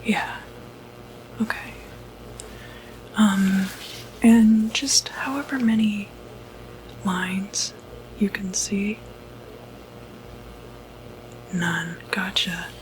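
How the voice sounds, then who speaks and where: A woman playing a calm, quietly puzzled doctor in an ASMR medical roleplay, whispering breathily and hesitantly. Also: mid-range voice, slight background noise, rough recording. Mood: calm, instructing. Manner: hesitant, halting, murmured asides. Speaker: ASMR performer.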